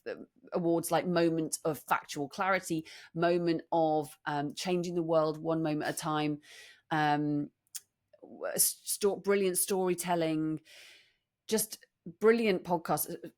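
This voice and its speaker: Lower-pitched British woman, wry podcast chat. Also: steady tone, unhurried, darkish tone. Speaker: podcast co-host. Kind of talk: casual chat, telling anecdotes.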